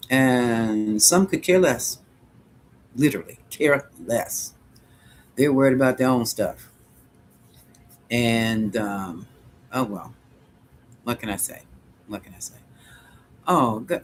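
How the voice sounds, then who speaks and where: A man's voice: mid-range voice, a little hiss or hum. Middle-aged guy rambling thru viewer questions on a live stream, laughs a lot, ordinary mid voice. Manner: rambling, musing aloud, chuckling often. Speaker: psychic livestream host.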